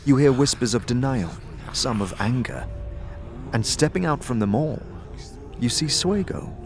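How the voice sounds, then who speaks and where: A man giving scripted fantasy narration in a steady, second-person storytelling voice. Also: mid-range voice, measured pace, over music.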